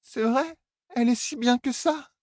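A man's voice: normal speed, darkish tone, no room sound, studio quality. A man voicing a tipsy young royal heiress who slurs, sulks and flares up. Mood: tearful. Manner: high, slurred pleading and indignant outbursts. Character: drunk young royal heiress, petulant and tearful.

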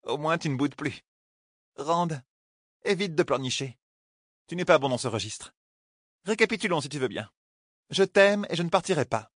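A man's voice: fairly high pitch, lively intonation, moderate pace, long pauses, a bit bright, no echo, studio quality, voice pushed up. A man voicing a feisty, stubborn young woman in love in a fantasy audiobook, teasing and bluntly outspoken. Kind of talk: audiobook character dialogue.

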